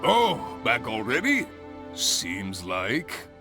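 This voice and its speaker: An actor playing a cheerful, theatrical adventurers' guild examiner in a video game, announcing formally and with enthusiasm. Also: male voice, medium pitch, wildly swooping pitch, drawn-out words, over music, full character voice. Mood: playful, impressed. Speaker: exam host. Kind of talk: video game character dialogue.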